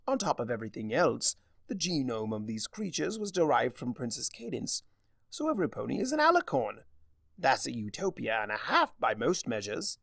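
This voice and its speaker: A man's voice: medium pitch, sing-song, normal speed, gravelly, a bit bright, no echo, studio quality, heavily acted. A man voicing a gleefully mad, theatrical spirit of chaos who lectures in a smug, mock-cheerful way, in a story reading. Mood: gleeful lecturing.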